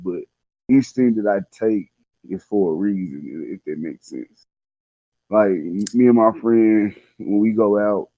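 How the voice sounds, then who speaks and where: A man in his twenties talks in a fairly low voice, candid and loose with plenty of slang. He rambles casually as he shares personal stories. The recording is dry and slightly dull, with little room sound. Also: dry recording. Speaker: guest telling personal stories. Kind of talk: casual chat.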